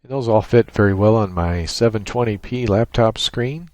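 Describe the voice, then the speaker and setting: A man giving an unscripted software tutorial, explaining each step in a matter-of-fact, technical way. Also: on the low side, moderate pace, no echo. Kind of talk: unscripted screen-share walkthrough. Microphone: USB microphone with gate and compressor.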